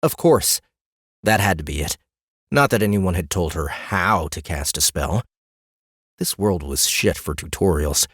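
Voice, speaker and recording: Deep-voiced male audiobook narrator. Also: expressive, measured pace, fairly bright tone, breathy edge, no echo, clean studio sound. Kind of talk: scripted fantasy fiction narration.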